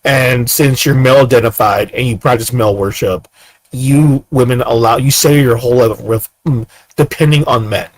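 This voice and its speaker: A man's voice: medium pitch, normal speed, dry recording, rough recording. A man in his thirties giving ranting commentary, firing off repeated rhetorical questions. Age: 30s. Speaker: commentator reacting to clips.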